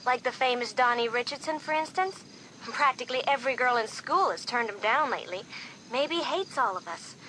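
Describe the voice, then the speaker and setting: A woman voicing a practical-minded teenage schoolgirl, brisk and matter-of-fact. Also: on the high side, animated, bright, forceful tone, slight background noise, poor audio.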